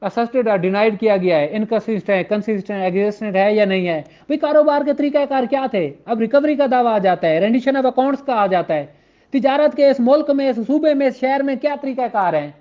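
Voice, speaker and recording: A man with a Pakistani accent giving an informal law lecture in a conversational, questioning way. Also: very high-pitched. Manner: conversational, poses rhetorical questions, acts out courtroom exchanges; switches between Urdu and slowly read English legal text. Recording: dull, lo-fi muffled audio.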